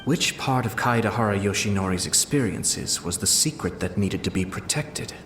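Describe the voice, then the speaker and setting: A man playing a composed young nobleman in a video game, speaking in a measured, formal and courteous way with soft amusement. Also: fairly low pitch, background noise, natural voice. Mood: measured, serious. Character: composed young nobleman, clan head, polite and quietly cunning. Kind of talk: video game character dialogue. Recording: roomy echo under clear background music.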